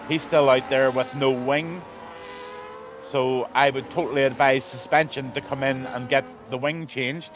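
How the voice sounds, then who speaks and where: A man's voice: medium pitch, a little hiss or hum. A man, losing his voice, commentating a live online race in a rambling, blunt way with lots of ums. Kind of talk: live sim racing commentary.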